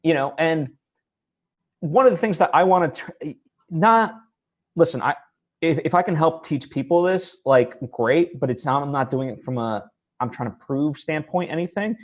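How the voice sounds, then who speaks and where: A man hosting a casual interview, speaking in an earnest, hesitant way with halting phrasing. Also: on the high side, lively intonation, highs cut off. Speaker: interview host. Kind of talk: casual one-on-one interview conversation.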